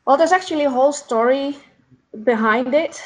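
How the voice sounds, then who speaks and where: A Dutch woman with a Dutch accent, a podcast guest who speaks in a halting, thoughtful way while searching for words. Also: high voice. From Netherlands. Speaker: podcast guest, founder of an organization. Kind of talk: casual interview conversation.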